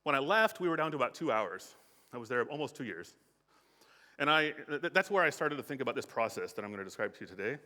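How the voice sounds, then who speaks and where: A man's voice: mid-range voice, very animated, fast talker, bright, forceful tone. An American man giving a casual conference talk, with frequent fillers and restarts. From United States. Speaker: conference speaker. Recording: reverberant hall.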